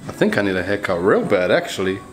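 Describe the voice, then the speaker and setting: A man's voice: fairly low pitch, sing-song, quick pace, soft background music. A man chats casually to camera, laid-back and slangy, often repeating his phrases. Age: 30s. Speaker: barber vlogger. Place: small bare barbershop room. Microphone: camera mic at arm's length or nearby. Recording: clear background noise, room ambience.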